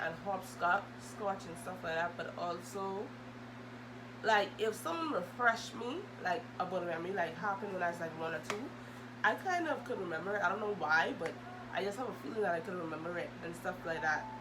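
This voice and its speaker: A young adult woman, chatting casually and hesitantly as she answers questions for a vlog. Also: fairly low pitch. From Bahamas. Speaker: video host. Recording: loud humming background noise.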